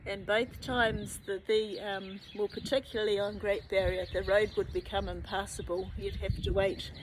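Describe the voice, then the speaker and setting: A woman's voice: medium pitch, flat delivery, normal speed. A woman with a New Zealand accent hosting a library story time for children in an informal presenting style. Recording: noisy outdoor rural ambience.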